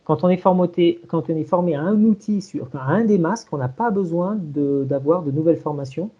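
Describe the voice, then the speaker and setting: A man giving an informal technical demonstration over a video call, in a halting way with repeated words. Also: a little high, breathy edge. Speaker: presenter of a software tool. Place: remote video conference. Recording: dull, lo-fi online call.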